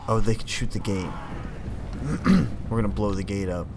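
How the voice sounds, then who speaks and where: A man's voice: fairly low pitch, lively intonation, moderate pace. A man giving casual gameplay commentary as a video host, often in short, clipped commands to his units. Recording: clear background noise under voice.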